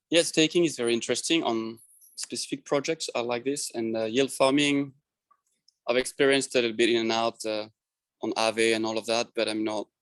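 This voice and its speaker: A man's voice: mid-range voice, no room sound, rough recording. A man casually introducing himself as a meeting participant.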